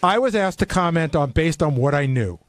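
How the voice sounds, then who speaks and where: High-pitched man arguing his case on air. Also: lively intonation, a little fast, no echo, studio quality. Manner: defensive, insistent. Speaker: radio show staff regular. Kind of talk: casual on-air argument. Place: dry radio studio.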